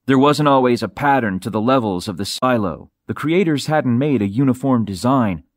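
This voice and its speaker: Measured male audiobook narrator, dry studio sound. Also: medium pitch, animated, no echo. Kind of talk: scripted narration of a science fiction novel.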